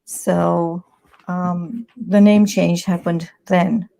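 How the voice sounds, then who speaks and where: A woman's voice: on the low side, flat delivery, very slow, vocal fry, warm tone, low-quality recording. A woman in her sixties from Tanzania, a publisher, chatting as an interview guest with many "you know"s and restarts. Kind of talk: conversational interview.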